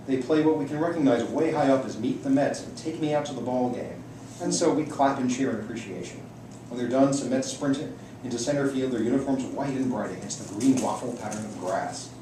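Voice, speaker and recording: A man reads a personal essay to an audience in a medium-pitched voice. The room is strongly echoey and he sounds distant from the microphone. Clear noise sits in the recording. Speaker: reader at a library book reading. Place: indoor room at a public library event.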